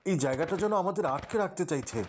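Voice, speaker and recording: A man playing an earnest, protective young adventurer in an animated fairy tale. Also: a little high, fast, soft background music, natural voice. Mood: reassuring, wary.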